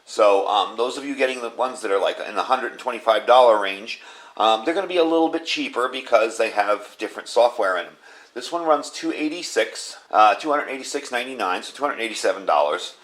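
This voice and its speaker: A man's voice: mid-range voice, lively intonation, fairly quick, a bit bright. A man giving a casual, plain-spoken product review in a small room. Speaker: host reviewing gadgets. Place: small indoor room at a tabletop.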